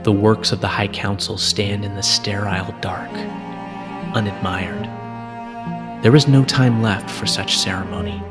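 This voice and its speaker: Low-voiced male narrator over music. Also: steady tone. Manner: solemn, measured storytelling. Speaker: narrator reading fan fiction. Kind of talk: scripted dramatic narration.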